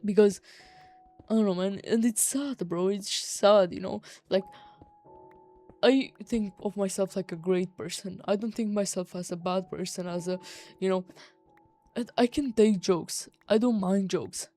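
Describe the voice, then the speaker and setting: A teenage girl with a Romanian accent talks in a soft-edged, mellow voice over background music. She is venting casually, exasperated and emphatic, with plenty of slang and swearing. Her pitch sits in an average range for a young woman. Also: measured pace. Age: teenager, high schooler. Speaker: vlogger. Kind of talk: casual personal rant.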